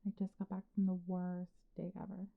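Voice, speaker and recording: A young woman acting as a blunt, secretly smitten best friend in an intimate, conversational ASMR roleplay, with a casual, expressive delivery. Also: lowish voice, fairly quick, vocal fry, narrow, tinny audio. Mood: exasperated, casual. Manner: casual, expressive, sighing, sweary.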